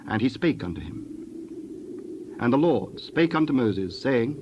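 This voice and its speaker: A man narrating a dramatized scripture reading in a formal, measured manner. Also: medium pitch, expressive. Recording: dull lo-fi audio, faint background noise.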